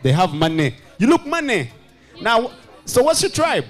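A high-voiced man with a Ugandan accent works the crowd at a nightclub launch. He teases guests in a bouncy, swinging voice, dropping into Luganda, with dance music playing behind him. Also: wildly swooping pitch, normal speed. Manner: playful, teasing, switches into Luganda. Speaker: event host interviewing guests. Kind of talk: lively party vox pop. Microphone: handheld microphone.

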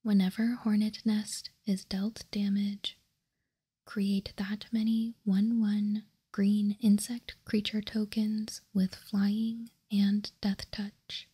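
A woman whispering softly and breathily in an ASMR style, close to the microphone, reading out and commenting in a careful, deliberate way. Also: mid-range voice, level tone, husky. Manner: slow, soft, deliberate delivery with careful pauses. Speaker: host of a trading card ASMR channel.

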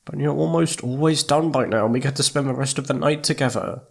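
Guy doing a needy sweet boyfriend asmr thing, soft pleading voice, normal guy pitch, kinda hesitant. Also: brisk, creaky. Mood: shy, lonely. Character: needy, affectionate young boyfriend wanting attention. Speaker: audio roleplay voice actor. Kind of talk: intimate ASMR boyfriend roleplay.

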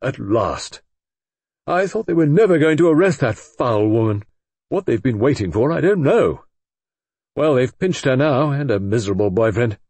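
A man voicing an excitable, vengeful middle-aged Englishman who talks loudly and indignantly. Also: mid-range voice, moderate pace, husky, no room sound, voice pushed up. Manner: loud, blustering, indignant.

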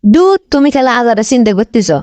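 A woman's voice: a little high, expressive, quick pace, a little mellow, dry recording, close to an everyday voice. A woman voicing a pushy, lovestruck young village girlfriend in a comedy cartoon, insistent and coaxing, with a North Bengal accent. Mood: reassuring, coaxing. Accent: North Bengal Bangladeshi.

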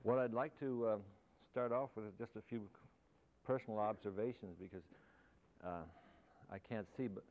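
Low-voiced older man giving a reflective talk. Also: fairly even intonation, normal speed. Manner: thoughtful, reflective, loosely phrased with restarts. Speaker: guest architect lecturing. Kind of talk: informal talk to students. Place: lecture hall. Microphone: lapel mic. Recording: thin, phone-like, faintly hissy 1970s videotape.

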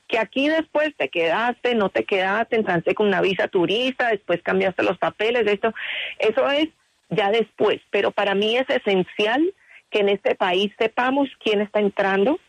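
A woman's voice: mid-range voice, dry recording, low-quality recording. A woman answering a radio interview with earnest insistence and frequent restarts. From Colombia. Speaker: interview guest.

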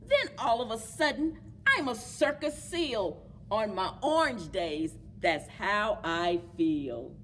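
A middle-aged woman hosting a children's story time in an animated, encouraging way, as if leading little listeners along. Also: mid-range voice, takes it slowly. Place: indoors among library bookshelves. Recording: clear background noise, room ambience.

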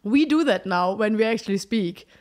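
A woman's voice: mid-range voice, animated, normal speed, darkish tone. A young woman from Germany with a German accent, co-hosting a casual podcast and playfully quizzing her partner, laughing often. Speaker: podcast co-host. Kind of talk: casual chat.

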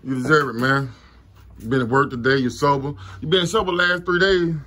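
A quick-talking man runs a casual street interview, his pitch sitting in an ordinary middle range. He repeats phrases as he goes and keeps slipping into encouraging, preachy asides. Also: steady tone, pushed and bright. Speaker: street interviewer and channel host.

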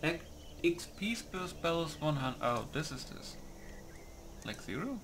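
A man's voice: a little high, sing-song, unhurried, background noise. A man giving casual gameplay commentary, muttering as he reads menu options aloud. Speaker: gamer recording a playthrough. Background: music.

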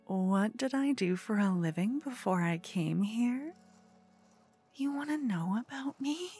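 A woman playing a cute, obsessed con-woman fangirl in a comedic ASMR roleplay, soft, often whispery, eager and cheekily salesy. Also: fairly high pitch, animated, a little slow, breathy edge, faint music behind. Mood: cheeky. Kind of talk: ASMR roleplay, comedic one-sided dialogue.

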